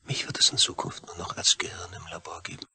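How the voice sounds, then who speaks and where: Tormented astronaut, very husky, low, brooding, intense, radio drama monologue. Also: male voice, monotone, fast talker, bright, forceful tone, full character voice. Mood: bitter, brooding. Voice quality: husky, gravelly. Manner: brooding, intense, often near-whispered. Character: anguished male astronaut reduced to a disembodied brain.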